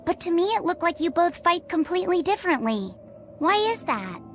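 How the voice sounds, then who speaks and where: High-pitched, gentle young boy. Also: very animated, fairly quick, a little hiss or hum. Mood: curious, earnest. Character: gentle, earnest young boy, polite and curious. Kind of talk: English video game dub acting.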